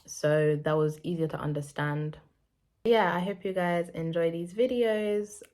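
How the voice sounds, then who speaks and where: A woman's voice: on the low side, fairly even intonation, measured pace, a little mellow. A woman whose first language is French, chatting casually as she works through translations on her language-learning vlog and thinks aloud.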